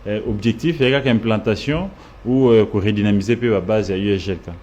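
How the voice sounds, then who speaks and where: A man interviews in a fairly low voice, steering the talk with short prompts and calm, measured questions. Faint room ambience runs underneath. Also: a little hiss or hum.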